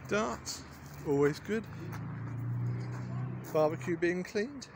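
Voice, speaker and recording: A man's voice: high-pitched, expressive, takes it slowly, noisy background. A man in his forties rambling casually through a walk-around vlog in a wry, understated, chatty way, recorded on a handheld phone. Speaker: vlogger. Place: outdoors in a garden. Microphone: handheld phone at arm's length.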